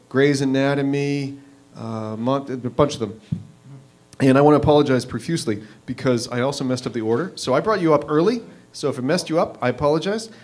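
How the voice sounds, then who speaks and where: A man hosts a storytelling open mic from a small stage, speaking into a handheld mic. He rambles a little and apologizes as he introduces the next act. His voice sits in an ordinary middle pitch. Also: darkish tone. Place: small performance venue, in front of an audience.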